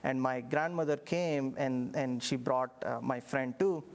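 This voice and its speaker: A middle-aged man from India with an Indian accent, a professor recounting his path in a relaxed interview, reflective, with many fillers and restarts. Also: mid-range voice, measured pace. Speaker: engineering professor. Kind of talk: interview storytelling.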